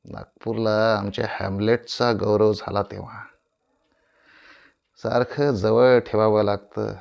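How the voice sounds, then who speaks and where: A man acting a proud, aging veteran stage actor in a theatrical monologue, grandiloquent and deliberate, with dramatic pauses and repeated phrases. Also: mid-range voice, vocal fry, a little mellow. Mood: wistful, apologetic. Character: proud, aging veteran Marathi stage tragedian addressing his audience.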